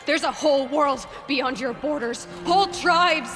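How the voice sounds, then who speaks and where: Stubborn young hunter girl in a video game, earnest, plain-spoken, clear music underneath. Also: high-pitched, unhurried. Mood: firm, impassioned. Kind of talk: scripted video game voice acting.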